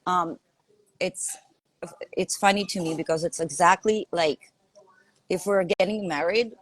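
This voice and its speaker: A woman's voice: lowish voice, a little slow, poor audio. A woman with a Lithuanian accent, a coach talking earnestly in a podcast conversation, often asking "right?". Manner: earnest, emphatic, repeats herself and asks "right?".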